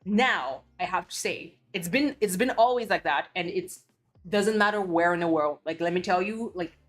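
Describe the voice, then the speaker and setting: A woman's voice: on the low side, normal speed, soft background music. A woman from Belgium, a streamer chatting casually and ranting bluntly with cursing and many restarts. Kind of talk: casual chat.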